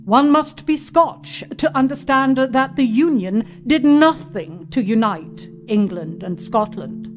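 A woman reading as a passionate Jacobite society lady from an old memoir, wistful and fervent, with measured phrasing, in a dramatic audio story. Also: a little high, animated. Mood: proud, fervent. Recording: voice over background music.

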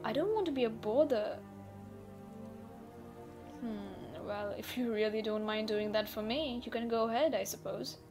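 A woman's voice: a little high, measured pace, long pauses. A woman acting an easygoing, teasing gamer captive in an audio roleplay, speaking in a playful, nonchalant, conversational way. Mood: hesitant. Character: easygoing, teasing female gamer held captive, unbothered adult. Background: background music.

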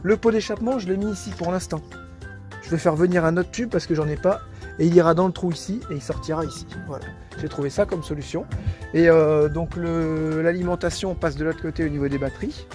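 A fairly high-voiced man in his thirties chats to his phone camera outdoors, explaining a repair step by step with plenty of filler sounds. Faint music runs underneath. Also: a little fast, a little mellow. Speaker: vlogger converting a bus into a camper. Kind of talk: casual DIY vlog talk to camera. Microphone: phone held at arm's length.

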